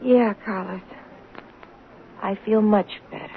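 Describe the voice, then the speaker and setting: A woman's voice: medium pitch, steady tone, slow, creaky. An actress playing an indignant, naive working-class woman obsessed with her soaps, in 1970s radio drama style. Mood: doubtful, soothed. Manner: indignant, insistent, ungrammatical everyday speech. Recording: noisy, tinny 1970s radio broadcast recording.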